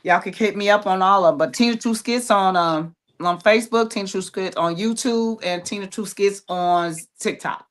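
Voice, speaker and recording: A woman from Dayton, Ohio, a playwright and skit creator, chatting in a relaxed way. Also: medium pitch, moderate pace, hardly any pauses. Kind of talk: casual video-call chat.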